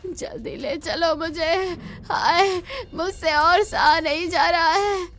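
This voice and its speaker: A woman's voice: very high voice, slow, a bit bright, soft background music, voice pushed up, heavily acted. A woman voicing an ailing elderly mother, crying and gasping as she pleads in fear, in a melodramatic cartoon story. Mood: pained wailing. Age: older. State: crying, short of breath.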